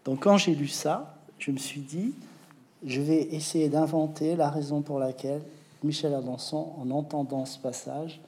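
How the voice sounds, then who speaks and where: A man who writes novels, answering interview questions in a reflective, hesitant way with many pauses. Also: a little high, steady tone, darkish tone.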